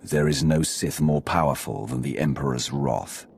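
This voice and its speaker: Very deep, gravelly Sith warrior. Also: male voice, moderate pace, a little mellow, a little hiss or hum. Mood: imperious. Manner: haughty, formal, imperious. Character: proud, commanding male Sith warrior, the Emperor's enforcer. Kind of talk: scripted video game dialogue.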